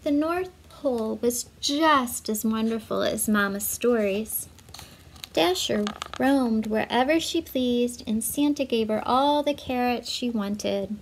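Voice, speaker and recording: A woman reading a children's story aloud in an expressive, measured way, with asides to young listeners. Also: a little high, a little hiss or hum, rough recording. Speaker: storybook reader for schoolchildren.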